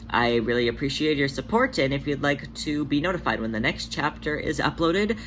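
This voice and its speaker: An amateur narrator reads fiction expressively in a medium-pitched voice that sits between typically male and female. The home recording has clear background noise. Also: a bit bright, poor audio. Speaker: podfic narrator and channel host.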